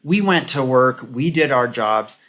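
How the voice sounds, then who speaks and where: A man's voice: mid-range voice, lively intonation, a bit bright. Opinionated man rambling through political commentary. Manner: opinionated, halting, rambling asides. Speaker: host of a political commentary video. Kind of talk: casual off-the-cuff commentary on news and online comments.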